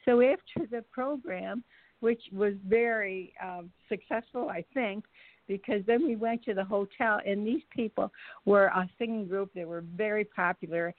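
A woman's voice: mid-range voice, no room sound. Older woman on a thin phone line. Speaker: podcast guest, mother of the host. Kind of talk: casual anecdotal storytelling.

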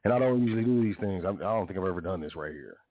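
A man's voice: animated, rapid-fire, no echo. A man hosting his own show, talking in a rambling, casual monologue full of restarts and asides. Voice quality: deep voice. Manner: rambling, frequent self-corrections and "you know". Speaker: podcast host. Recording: dry, phone-like narrow sound.

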